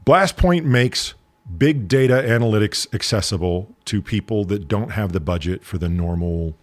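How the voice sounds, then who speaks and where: A middle-aged American man with a lowish voice hosts his podcast in a loose, joking way and often pokes fun at himself. The sound is dry and close, with no room around it. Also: lively intonation, moderate pace, dry recording. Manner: jokey, self-deprecating, chatty. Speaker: podcast host. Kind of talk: casual interview.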